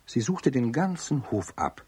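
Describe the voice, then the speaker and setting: A man narrating a children's radio play as a calm storyteller, with a deep, husky voice. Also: a little slow, no room sound, heavily acted. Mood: calm storytelling.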